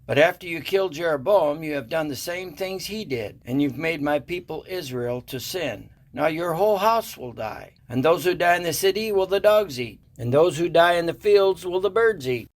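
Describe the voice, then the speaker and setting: Divine messenger played by a man: highish male voice, declamatory, stern, condemning. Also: animated, moderate pace, hardly any pauses, close to an everyday voice. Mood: stern, wrathful. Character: stern prophetic voice of God pronouncing doom. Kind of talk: audio Bible drama acting.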